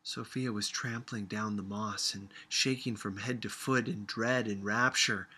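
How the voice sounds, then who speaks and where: Bright, forceful male storybook reader. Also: on the low side, steady tone, a little slow, breathy edge. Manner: dramatic, acts out dialogue. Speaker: audiobook reader. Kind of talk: expressive storybook narration.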